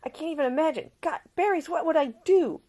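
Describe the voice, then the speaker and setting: A woman's voice: high-pitched, a little fast, a little mellow, pitched above the performer's own voice, natural voice. Woman voicing an impassioned, protective ailing man. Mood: anguished, pleading. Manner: ranting, insistent, emotional. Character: ailing man, passionate, fiercely protective lover. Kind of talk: audiobook character dialogue.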